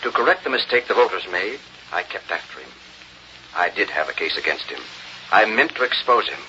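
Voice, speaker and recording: An actor playing a troubled, earnest middle-aged public official and father in an old radio drama, speaking haltingly. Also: low voice, lively intonation, sharp tone, exaggerated voice. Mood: resigned, earnest. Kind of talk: 1930s-40s radio drama acting. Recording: dull, noisy old radio recording.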